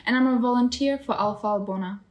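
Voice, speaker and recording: A woman's voice: on the high side, quick pace, muffled recording. A young woman from Ukraine, a volunteer hosting a podcast and introducing the guest. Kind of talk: podcast interview.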